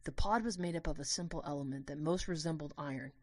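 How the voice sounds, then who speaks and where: Woman as a low-voiced, lovestruck student narrator. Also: quick pace, darkish tone, no room sound. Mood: concerned. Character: lovestruck, guilt-ridden young human student narrator. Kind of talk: dramatic first-person audio drama narration.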